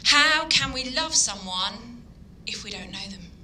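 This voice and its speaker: A woman with a Yorkshire accent preaches an evening sermon in an earnest, halting way, with plenty of ums. Her voice is very bright and sharp. A faint hiss of noise sits behind her. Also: a little high, lively intonation. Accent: Yorkshire English. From Yorkshire, England. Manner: earnest, hesitant with frequent ums. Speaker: guest speaker.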